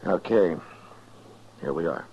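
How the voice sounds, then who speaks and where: A man's voice: deep voice, slow, darkish tone. An actor playing a stern, steely frontier lawman in a 1950s radio western, speaking in a terse, commanding way. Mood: grave, resolved. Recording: dull, noisy 1950s radio recording.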